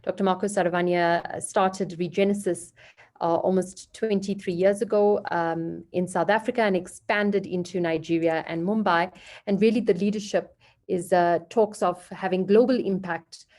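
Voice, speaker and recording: A woman's voice: lowish voice, steady tone, normal speed, a little mellow. A woman moderating an online business panel, talking loosely and hunting for words as she introduces speakers. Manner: loose and conversational, hunts for words.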